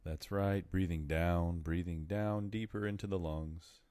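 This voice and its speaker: A man with an extremely low, mellow voice guides a meditation. He speaks in soothing, measured phrases and leaves deliberate pauses. The sound is dry and close, with no room around it. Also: fairly even intonation, no room sound.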